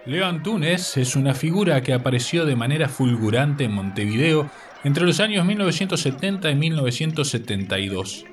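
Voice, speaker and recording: A man's voice: mid-range voice, far from the mic, a little hiss or hum. A man reading scripted narration as host of a radio segment. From Uruguay.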